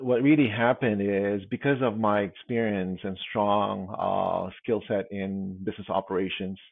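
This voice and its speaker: A deep, gravelly man in his fifties answers interview questions in a halting way, circling back and restarting his sentences. His voice comes through a tinny, phone-like remote line with a dry sound. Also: no echo. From Philippines. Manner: halting, many fillers and restarts. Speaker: guest, security executive.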